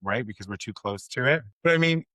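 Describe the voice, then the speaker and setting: A man's voice: mid-range voice, lively intonation, brisk, sharp tone, no echo. A man hosting a conversational interview, speaking in an earnest, encouraging way to his guest. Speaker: podcast host.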